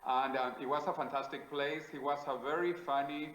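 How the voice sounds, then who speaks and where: A man from South America with a Spanish accent, a guest in an interview, answering in a measured, declarative way with frequent hesitations. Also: a little high, little pitch movement, fairly bright tone, reverberant, low-quality recording. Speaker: guest, futurist.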